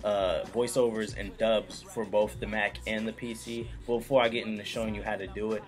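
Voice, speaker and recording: A man's voice: on the low side, little pitch movement, fairly quick, soft background music. A young man hosting a casual computer tutorial, joking and talking straight to viewers. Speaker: YouTube tutorial host. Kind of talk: casual screen-recorded tutorial.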